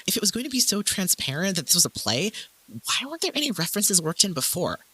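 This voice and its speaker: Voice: medium pitch, sing-song, quick pace, pushed and bright, breathy edge, no room sound, exaggerated voice, low-quality recording. A performer playing an enthusiastic, articulate woman arts critic hosting a review show in an audio drama. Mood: animated, amused.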